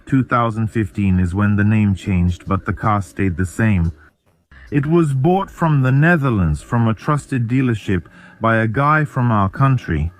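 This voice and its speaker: A man walking viewers through a car in a plain, matter-of-fact explanation. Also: medium pitch. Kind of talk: explanatory walkthrough.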